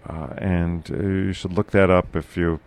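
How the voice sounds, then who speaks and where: A man hosting a casual local podcast chat, with a loud, carrying voice, talking haltingly with many fillers and restarts. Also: low-pitched, flat delivery, no room sound. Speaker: podcast host.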